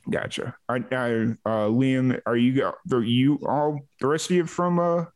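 A man hosting a casual music interview, halting as he talks and often repeating words. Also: medium pitch, fairly even intonation, darkish tone. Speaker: host.

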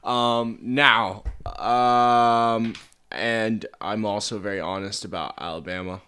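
A man's voice: lowish voice, steady tone, takes it slowly, sharp tone, clean tone. A young man in his twenties from Pittsburgh, co-hosting a casual podcast from an attic, joking in a blunt, crude way. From Pittsburgh, Pennsylvania. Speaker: podcast co-host. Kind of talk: casual chat.

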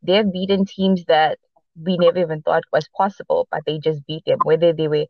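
A woman speaks in a mixed accent shaped by Portuguese, South Africa and America, and Portuguese is her first language. She chats casually on a podcast, halting and restarting with plenty of fillers. The sound is dry and a bit dull, like a lo-fi recording. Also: low voice, level tone, vocal fry, dry recording. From mixed upbringing: Boston, Los Angeles and South Africa. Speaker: podcast co-host. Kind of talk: casual sports podcast chat.

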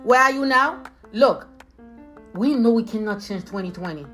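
A woman's voice: a little high, lively intonation, normal speed, a bit bright, faint music behind. A woman with a Haitian accent giving an emphatic motivational talk, repeating phrases and calling her listeners leaders. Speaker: motivational speaker.

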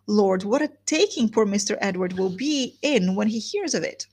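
A woman's voice: medium pitch, lively intonation, moderate pace, a little mellow, husky. A woman reading aloud as a gossipy, chattering older woman who breathlessly retells news. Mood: gossiping. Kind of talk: reading a classic novel aloud.

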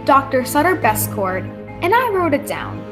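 A plucky amateur sleuth played by a woman in an old radio mystery, with a high voice. Music plays clearly behind the lines. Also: very animated, moderate pace. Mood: wrapping up. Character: plucky sleuthing helper and storyteller. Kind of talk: old-time radio drama acting.

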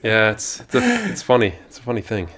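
A man's voice: mid-range voice, wildly swooping pitch, a little fast, fairly bright tone. A man interviewing a guest in a casual podcast conversation.

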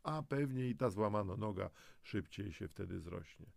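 A man's voice: on the low side, animated, moderate pace, vocal fry, no room sound. A man presenting a self-help audio guide, speaking straight to the listener in an encouraging, motivational style. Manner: encouraging, addresses the listener directly. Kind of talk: motivational scripted narration.

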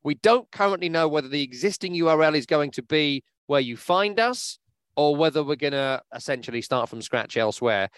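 A man's voice: a little high, animated, fairly quick, a bit bright, clean tone, no room sound. A man hosting a football podcast, talking in a chatty, upbeat way with many fillers. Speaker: podcast host. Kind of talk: casual podcast chat. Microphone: remote podcast mic.

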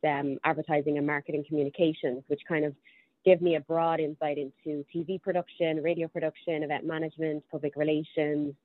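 A young Irish woman with a low voice talks through an interview, chatty and full of ums as she works out her thoughts. Her voice comes over a thin, tinny line that sounds like a phone call. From Ireland. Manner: chatty, thinks aloud with frequent ums. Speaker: guest. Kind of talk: casual interview conversation.

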